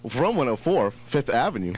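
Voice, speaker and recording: A man hosting radio with playful, slangy banter and shout-outs. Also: mid-range voice, big pitch swings, moderate pace, noisy background. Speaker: radio host. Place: radio studio. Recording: 1980s radio aircheck with music behind. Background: music.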